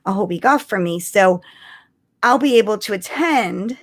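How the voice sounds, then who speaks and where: A woman's voice: mid-range voice, expressive, normal speed. A woman chatting casually and expressively as co-host of a fan livestream.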